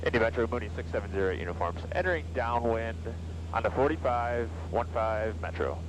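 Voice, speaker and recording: A man's voice: mid-range voice, expressive, brisk, noisy background. An American man flying a small plane, chatting casually and making clipped radio calls over a headset. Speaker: pilot. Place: small airplane cockpit in flight. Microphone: aviation headset. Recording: loud engine drone over headset audio.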